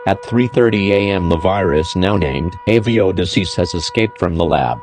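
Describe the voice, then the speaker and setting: Deep voiced guy doing an emergency alert announcement, formal and terse, official sounding. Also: moderate pace, background noise. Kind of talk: scripted emergency broadcast announcement.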